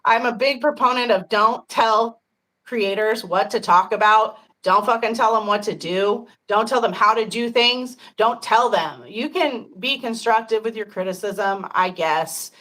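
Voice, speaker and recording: 50s lady chatting on a stream, frank, laughs a lot, kinda high-pitched. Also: unhurried, bright, forceful tone, rough recording. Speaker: podcast host. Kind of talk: casual livestream chat.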